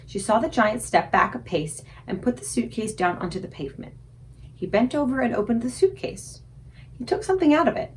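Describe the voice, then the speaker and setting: A woman reading a children's story aloud expressively, with dramatic, suspenseful emphasis and deliberate pauses. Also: a little high, animated, slight background noise. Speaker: librarian reading aloud for a book club.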